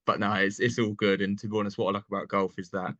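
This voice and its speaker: A young man from England chatting casually as an interview guest, relaxed and laughing often. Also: fairly low pitch, quick pace, no room sound, lo-fi sound. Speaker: guest, online seller.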